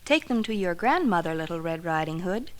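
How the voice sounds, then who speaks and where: A man's voice: sits high, big pitch swings, a little fast, background noise, voice pushed up. A man doing a fussy, caring mother. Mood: instructing. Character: caring mother giving orders.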